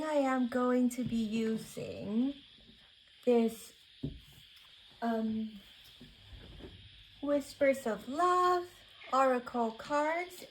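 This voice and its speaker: A woman whose first language is Thai, giving a tarot card reading in a soothing, searching way with many pauses and restarts. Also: high voice, a little hiss or hum, low-quality recording. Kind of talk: spiritual pick-a-card reading.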